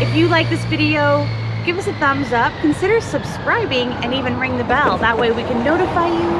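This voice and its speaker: Chatty woman vlogging on a noisy street. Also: on the high side. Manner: chatty, enthusiastic, addresses viewers directly. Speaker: food vlogger. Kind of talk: casual food review. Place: diner booth, then a city sidewalk outdoors. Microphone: handheld camera at arm's length. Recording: loud street traffic noise outdoors.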